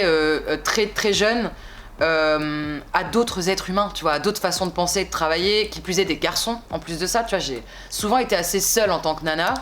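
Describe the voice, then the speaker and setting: A young woman in her twenties from France, a singer chatting casually in an interview, relaxed and slangy, rambling with frequent little asides. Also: low voice, a little hiss or hum. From France (Bordeaux).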